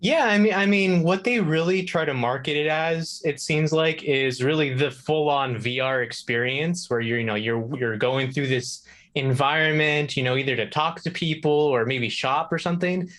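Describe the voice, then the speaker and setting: A man's voice: on the high side, normal speed, fairly bright tone. A man speaking as a show guest, explaining things casually and thinking aloud. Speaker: video game contributor, show guest.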